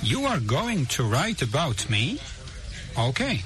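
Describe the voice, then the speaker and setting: A man's voice: medium pitch, huge pitch swings, slow, fairly bright tone, exaggerated voice. A man acting a polite Polish student in a scripted lesson dialogue, speaking careful learner's English. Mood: earnest.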